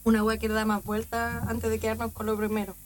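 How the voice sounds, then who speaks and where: A man's voice: high voice, monotone, fairly quick, faint music behind. A man, a band member making slangy jokes in casual talk. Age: 20s. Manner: joking, slangy. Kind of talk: casual interview chat.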